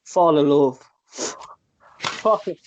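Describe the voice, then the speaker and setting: A man's voice: on the high side, slow pace, lo-fi sound, background noise. Man playing a condescending, manipulative ex. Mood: needling. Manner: condescending, needling. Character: belittling, manipulative ex-partner demanding rent. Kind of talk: drama table read.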